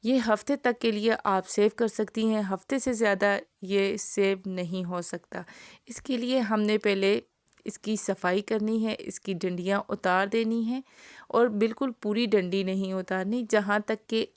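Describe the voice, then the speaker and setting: A woman's voice: medium pitch, steady tone, unhurried, clean tone, no room sound, clean studio sound. A woman with a sore throat giving a home cooking tutorial as a voice-over, explaining each step to her viewers in a friendly way. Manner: friendly instructional, addresses viewers directly. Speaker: cooking channel host. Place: home kitchen, voice-over recorded separately.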